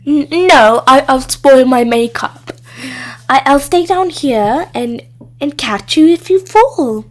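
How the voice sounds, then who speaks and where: Woman acting a storybook witch, very high-pitched, vain, bossy, scornful, snappish. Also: takes it slowly.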